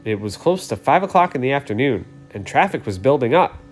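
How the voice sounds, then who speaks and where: A man's voice: mid-range voice, big pitch swings, brisk, faint music behind. A man in his thirties explaining things simply and reading a storybook aloud for children. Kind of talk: casual introduction and storybook read-aloud.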